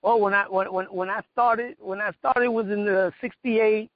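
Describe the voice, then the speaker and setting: A man in his sixties with a New York accent, reminiscing casually in a halting way and repeating phrases, on dull, dry call audio. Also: high voice, fairly even intonation. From New York, United States. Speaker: interview guest, veteran martial artist.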